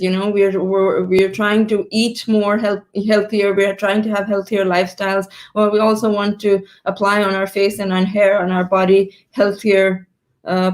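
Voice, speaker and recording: A woman in her fifties, born in Pakistan, answering interview questions on a video call, often pausing to rephrase her sentences. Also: mid-range voice, a little mellow. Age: 50s. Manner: thoughtful, stops to rephrase mid-sentence. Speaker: guest entrepreneur.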